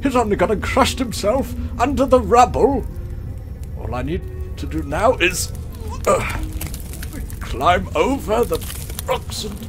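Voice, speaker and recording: Man playing a pompous, high-voiced hapless knight. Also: expressive, unhurried, long pauses, music in the background. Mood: proud. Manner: pompous, melodramatic, talking to himself. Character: pompous, hapless male knight, self-important and easily dejected. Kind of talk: comic fantasy audio drama acting.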